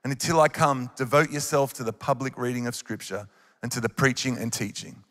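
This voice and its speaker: A man's voice: medium pitch, a little fast, fairly bright tone. A man in his thirties with an Australian accent, preaching a sermon on a church stage into a handheld mic, earnest and encouraging. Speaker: worship leader preaching. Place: large church auditorium on stage. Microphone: handheld microphone. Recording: live hall reverb.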